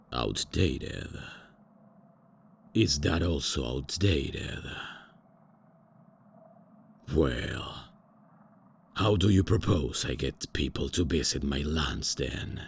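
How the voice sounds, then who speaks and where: A man's voice: expressive, extremely slow, pauses often, a little hiss or hum, full character voice. A man voicing a pompous, old-fashioned male vampire count who is out of touch with the modern world, in an ASMR roleplay. He talks grandly and formally but keeps stumbling over fillers and correcting himself. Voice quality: deep and gravelly. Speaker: voice actor.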